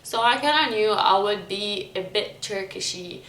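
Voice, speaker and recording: A woman's voice: mid-range voice, normal speed, fairly bright tone. A woman from Lebanon chatting casually and informally, thinking aloud and often hedging as she goes. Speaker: vlogger. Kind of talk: casual rambling chat. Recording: roomy echo, faint background noise.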